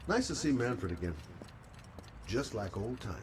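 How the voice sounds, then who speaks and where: A man playing an easygoing middle-aged private investigator in a video game, polite, casual and low-key. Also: sing-song, a little slow, noisy background. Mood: relaxed, friendly. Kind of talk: video game dramatic acting.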